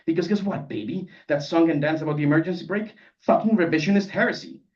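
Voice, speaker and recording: A man's voice: fairly high pitch, fast talker, pitched above the performer's own voice, natural voice. A man voicing a mocking, pedantic young male protagonist in a live story reading, pompous and needling. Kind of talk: character dialogue in a live literary reading. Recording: dull sound, distant microphone.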